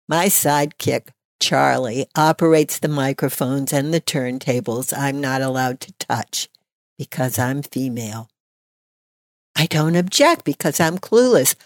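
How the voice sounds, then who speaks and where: A woman's voice: sits low, dry recording. An elderly woman, in her eighties, reads a written memoir aloud. She is recorded very dry, with hardly any room sound around her voice. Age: 80s. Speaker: storyteller. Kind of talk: reading a written personal memoir.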